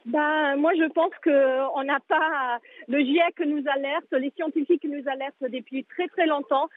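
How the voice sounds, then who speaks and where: A woman answers radio questions over a thin, tinny phone line. Her voice sits high for a woman. She hesitates constantly, filling gaps and restarting phrases. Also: steady tone, measured pace. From Italy. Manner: hesitant, many fillers and restarts. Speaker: museum director, guest. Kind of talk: live radio interview answers.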